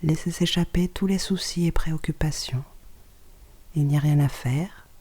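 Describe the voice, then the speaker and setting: Soft breathy yoga nidra guide, mellow voice, calm short instructions w pauses, almost whispering. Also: mid-range voice. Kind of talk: guided relaxation meditation, scripted instructions.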